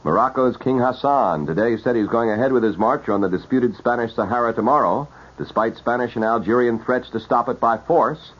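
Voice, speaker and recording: A man reading a scripted 1970s radio newscast as an anchor, with a formal, measured announcer delivery. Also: medium pitch, husky. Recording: dull, muffled vintage radio broadcast.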